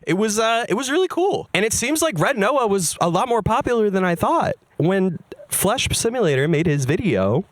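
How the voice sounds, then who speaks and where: Brief: young man, personal narration, wry and candid, lively up-and-down delivery, dry close sound. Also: high voice, moderate pace, dry recording. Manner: candid, wry and self-mocking. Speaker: streamer and musician.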